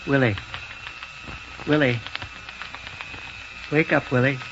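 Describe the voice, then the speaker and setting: An actor playing an authoritative, concerned scientist in an old radio serial, urgent and commanding with slight stammers. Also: male voice, medium pitch, steady tone, takes it slowly. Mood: grave, commanding. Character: elderly-authority male scientist doctor, brisk, concerned, kindly. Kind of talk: 1930s radio serial acting. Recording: muffled, hissy old radio recording.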